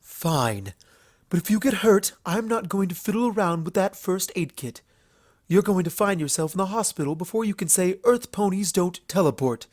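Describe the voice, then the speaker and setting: A man's voice: high-pitched, lively intonation, moderate pace, darkish tone, no echo, studio quality, pitched above the performer's own voice. A man voicing a proud, playful female alicorn pony princess in a storybook reading, articulate and haughty. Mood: exasperated. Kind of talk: storybook fan fiction character voice.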